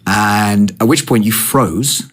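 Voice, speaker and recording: A young man from London with a London accent and a low, husky voice. He rambles through his story, restarting and correcting himself. When it gets exciting, he becomes animated. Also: slow pace. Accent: London English. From England. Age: 20s. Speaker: guest. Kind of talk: casual conversation.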